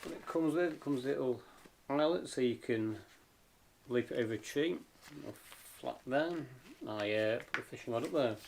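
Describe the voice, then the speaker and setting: A man's voice: mid-range voice, moderate pace, a little mellow, poor audio. Hesitant man, informal, with noise behind. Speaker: amateur radio vlogger reviewing gear. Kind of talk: casual hands-on product review. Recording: clear background noise and clicks.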